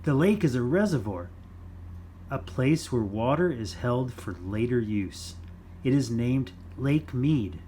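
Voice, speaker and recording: Slow-paced man reading to children. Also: medium pitch, lively intonation, dark tone, slight background noise. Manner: simple, deliberate phrasing for young listeners. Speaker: children's storytime reader. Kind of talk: read-aloud of a children's nonfiction book.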